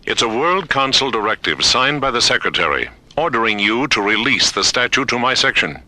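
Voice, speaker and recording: An actor playing a stubborn, combative official, arguing insistently in a science fiction radio drama. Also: male voice, medium pitch, lively intonation, brisk, no echo, rough recording. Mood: insistent, suspicious. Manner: insistent, argumentative, forceful. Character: stubborn, combative male official hungry for weapons.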